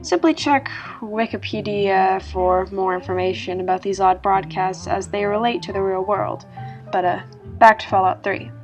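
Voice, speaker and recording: A woman reading scripted narration as a storyteller, in a measured, explanatory manner. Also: medium pitch, lo-fi sound. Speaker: narrator reading an online horror story about a video game. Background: music.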